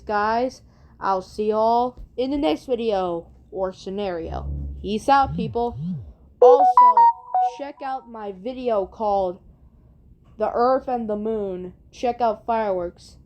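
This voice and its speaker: A woman's voice: mid-range voice, takes it slowly, darkish tone. A woman chatting casually to viewers as the host of her own video. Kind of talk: casual video intro and outro chat.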